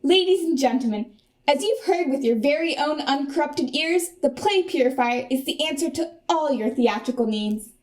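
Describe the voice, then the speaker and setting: A woman's voice: high-pitched, animated, normal speed. A woman playing a grandiose infomercial host in a comic radio play. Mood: grandiose, salesy. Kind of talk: parody advertisement in a radio play.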